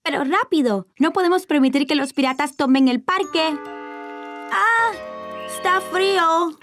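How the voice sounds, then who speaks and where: High-pitched excitable little boy. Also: unhurried, over music. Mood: pleading. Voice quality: high childlike voice. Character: excitable four-year-old boy with big imagination. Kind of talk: dubbed children's cartoon acting.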